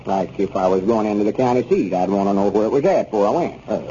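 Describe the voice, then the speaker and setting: A folksy rural Southern storekeeper, acted by a man for 1930s radio comedy, with a relaxed, mellow voice. He is gullible and pleased with himself, rambling through homespun sayings. The recording is dull, with faint noise. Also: on the low side, quick pace, natural voice. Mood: self-assured. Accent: rural Southern American. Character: folksy, gullible, self-important country storekeeper.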